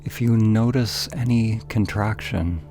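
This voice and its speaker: A man narrating a guided meditation in a calm, gentle, deliberate way, leaving pauses between phrases. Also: fairly low pitch, soft background music.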